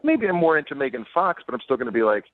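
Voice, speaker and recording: A man's voice: medium pitch, very animated, fast talker, noisy background. A man phoning in as a guest on a radio dating segment, talking casually down a phone line. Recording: tinny band-limited phone line.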